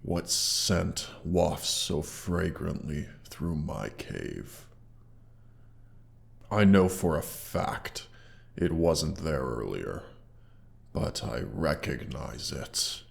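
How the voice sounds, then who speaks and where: An extremely low, gravelly dragon speaks with haughty menace. He draws out his words very slowly, with theatrical pauses and a condescending air. The voice has monstrous processing, as in a fantasy audio roleplay. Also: animated, heavily acted. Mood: suspicious. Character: injured, haughty male dragon guarding his treasure hoard. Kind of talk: scripted roleplay audio drama.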